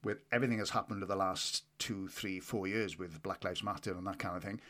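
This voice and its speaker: A man's voice: low-pitched, fairly even intonation, fast talker, sharp tone. Hesitant older man hosting. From Wales. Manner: hesitant, with many restarts. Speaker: podcast host. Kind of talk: podcast interview.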